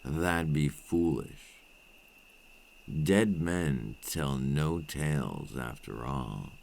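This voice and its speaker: A man playing a menacing, seductive vampire captor in an intimate ASMR roleplay, cruel, patient and taunting, with slow, silky threats close to the mic. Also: animated, darkish tone, full character voice. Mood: silky menace. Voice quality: very deep, gravelly. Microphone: close microphone.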